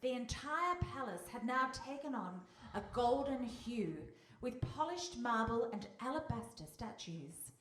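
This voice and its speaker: A woman storyteller with a fairly high voice narrates a live radio play. She is recorded at a distance in an echoey hall, with faint noise behind her. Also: animated, a little slow, fairly bright tone. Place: hall, live stage performance.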